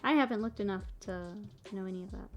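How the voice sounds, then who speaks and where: A woman's voice: mid-range voice, fast, faint music behind. Chatty woman streaming while she paints. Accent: mixed northern US and Texas. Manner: chatty, reads chat aloud, laughs often. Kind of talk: casual livestream chat while painting.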